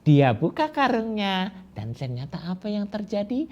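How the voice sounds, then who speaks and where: A man telling a folk tale to children, slow and drawn-out, with rhetorical questions. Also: high voice, big pitch swings. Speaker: storyteller. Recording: dull lo-fi recording with faint hiss.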